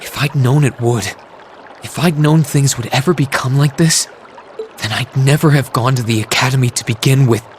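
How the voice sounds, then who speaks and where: An actor voicing an earnest, self-doubting teenage student in a video game, speaking sincerely and reflectively. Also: male voice, medium pitch, normal speed, a little hiss or hum, lightly acted. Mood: self-loathing, dejected. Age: young adult. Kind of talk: video game cutscene voice acting. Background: trickling water ambience.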